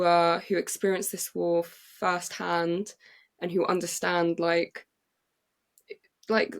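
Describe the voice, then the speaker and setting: A young Englishwoman tells a story as a podcast guest, bubbling with excitement and tripping over her own words. Her voice is low and gravelly, with plenty of fry. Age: young adult. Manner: excited, many fillers and restarts. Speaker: playwright and actress guest. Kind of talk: casual interview storytelling. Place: podcast recording.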